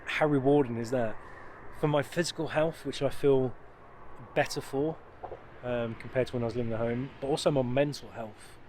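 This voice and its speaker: Casual English male vlogger, mid-pitched, chatty and rambling, cheerful. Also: normal speed, darkish tone, a little hiss or hum. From United Kingdom. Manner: rambling, many ums and restarts, upbeat. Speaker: van life vlogger. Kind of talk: casual vlog talk to camera.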